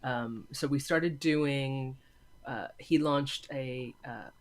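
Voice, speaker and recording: A woman's voice: extremely low pitch, slow. A woman from California with an American accent, answering conversationally as an interview guest. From California, USA. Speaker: interview guest, film lecturer and voice actor.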